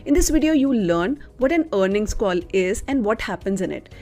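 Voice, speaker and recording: A woman's voice: medium pitch, animated, fairly quick, darkish tone. A woman reading a scripted educational narration as the narrator of an explainer video. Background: background music.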